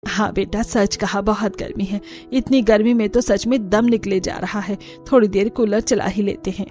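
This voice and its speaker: A woman's voice: medium pitch, fairly even intonation, moderate pace, a little mellow, close to an everyday voice. A woman voicing a thrifty middle-aged mother-in-law in a cartoon, speaking matter-of-factly. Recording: music under the voice.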